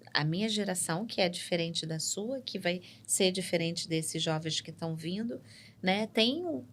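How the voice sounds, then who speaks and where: A woman psychologist, explaining ideas in a measured way on a studio podcast and often checking in with "né?". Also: low voice, a bit bright, a little hiss or hum. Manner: measured and explanatory, tags points with "né?". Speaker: psychologist guest. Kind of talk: explanatory interview talk.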